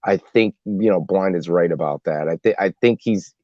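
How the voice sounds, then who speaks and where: A man talking as a podcast panelist in a casual discussion, building his points haltingly with repeated words. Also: deep voice, no echo, highs cut off.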